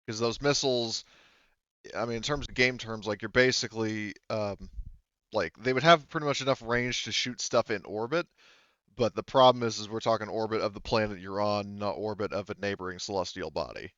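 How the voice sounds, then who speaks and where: A man running a tabletop roleplaying game as game master, explaining things haltingly and thinking aloud. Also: lowish voice, fairly bright tone, no room sound, highs cut off.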